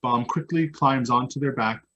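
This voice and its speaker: A man's voice: medium pitch, moderate pace, bright, forceful tone, highs cut off. A man reading out stage directions as scripted narration at a play table read.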